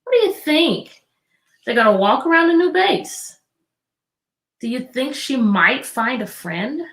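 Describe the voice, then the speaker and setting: Higher-pitched woman reading to children. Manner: expressive, deliberate, prompting young listeners. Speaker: teacher reading a picture book aloud. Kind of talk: children's read-aloud with questions.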